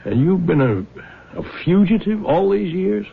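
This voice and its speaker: A man's voice: high voice, sing-song, unhurried, gravelly, breathy edge, full character voice. An actor playing a blunt, folksy small-town lawman in an old radio drama. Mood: surprised, probing. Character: gruff, folksy small-town lawman, older family man. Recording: tinny, narrow old radio broadcast.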